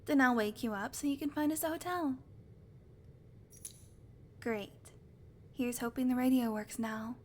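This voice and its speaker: A woman's voice: high voice, measured pace, long pauses, a little mellow, slight background noise. A woman playing a sarcastic, teasing vampire who swears casually, in a conversational audio roleplay. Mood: wry, casual. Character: sardonic female vampire, unrepentant predator, teasing. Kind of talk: audio roleplay acting, conversational monologue to listener.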